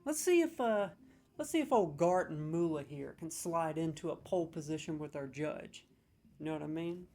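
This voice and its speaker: A man's voice: on the high side, animated, normal speed, warm tone, faint music behind. A man in a comedic role, scoring lines with sarcastic, mock-serious, playfully exaggerated commentary.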